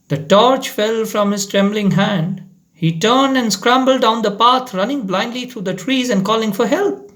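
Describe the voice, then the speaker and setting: Slow, expressive male storyteller. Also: high-pitched. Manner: dramatic, deliberate. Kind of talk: expressive short story reading.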